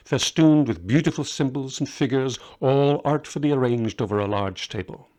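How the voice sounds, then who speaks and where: A man narrates a piece of fiction in a scripted audiobook style. His voice sits at a medium pitch, and he reads as the author of the story. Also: a little mellow. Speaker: author reading own story.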